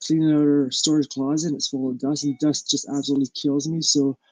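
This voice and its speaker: Man, stuffy nose, fairly high voice, dry sound, halting casual live-stream talk full of fillers. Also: little pitch movement, a little mellow, no echo, low-quality recording. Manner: halting, full of ums and uhs. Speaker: small business owner hosting a live stream.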